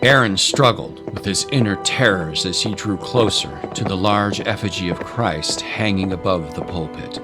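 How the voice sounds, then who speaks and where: A man narrating a scripted dramatic story in a solemn, deliberate way. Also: low voice. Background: clear music.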